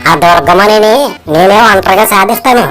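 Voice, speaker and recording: A man's voice: high-pitched, brisk, fairly bright tone. Cartoon braggart, acted by a man: greedy, reckless village adventurer, cocky delivery, music under the voice. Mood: boastful. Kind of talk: cartoon story dialogue. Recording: music behind the voice.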